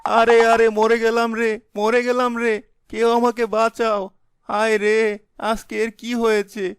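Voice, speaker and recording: A man voicing a young village husband, blustering but easily cowed, with an exclaiming, melodramatic delivery in an animated folk tale. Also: extremely high pitch, takes it slowly, smooth, no room sound, clean studio sound, full character voice. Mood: panicked, pleading. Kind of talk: animated fairy-tale voice acting.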